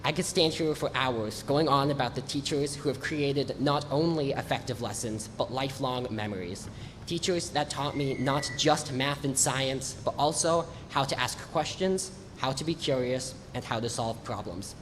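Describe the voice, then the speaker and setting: Congested teenage boy giving a speech. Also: mid-range voice. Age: teens. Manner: earnest, measured reading. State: congested from allergies. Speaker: graduating student speaker. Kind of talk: prepared graduation speech. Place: large hall or gym, on stage. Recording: echoey hall with faint noise.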